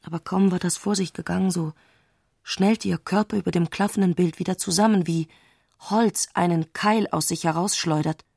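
Eine Frau spricht in einem literarischen Hörspiel die introspektive Erzählerin, die mit bedachten Pausen die Gedanken einer aufgewühlten Frau schildert. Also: female voice, fairly low pitch, steady tone, creaky, darkish tone, breathy edge, no echo, studio quality. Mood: intense, dreamy. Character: intimate, introspective female narrator of a troubled woman's thoughts. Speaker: narrator in a literary radio play. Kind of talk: literary radio drama narration.

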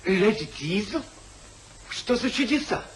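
A rakish young gentleman in a vintage radio comedy, theatrical and full of teasing irony. His high voice moves in lively swings. The old recording carries a noticeable hiss. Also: slow, exaggerated voice. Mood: amused mockery. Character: rakish, mocking young gentleman, witty carefree brother. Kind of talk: 1950s radio stage-comedy acting. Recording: hissy 1950s radio play recording.

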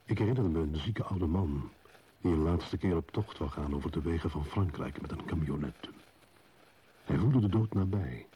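An actor playing a solitary, brooding male wanderer who narrates in a solemn, measured, poetic radio play style. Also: low voice, warm tone, a little hiss or hum.

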